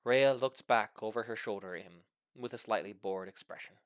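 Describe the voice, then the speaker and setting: A man's voice: on the low side, moderate pace, clear, resonant tone, no echo, studio quality. A man reading a funny short story aloud as scripted narration, with theatrical, mock-serious emphasis. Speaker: story reader.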